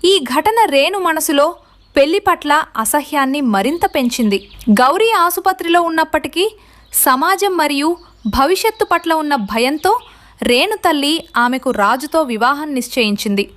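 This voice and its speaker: A woman's voice: high-pitched, animated, normal speed, fairly bright tone. A woman giving scripted narration as the storyteller of a tale.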